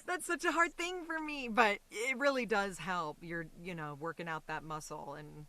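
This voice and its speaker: A woman talks casually as a guest in an interview. Her delivery is animated and loosely rambling, with the reflective feel of a performer talking through her own craft. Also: medium pitch, moderate pace.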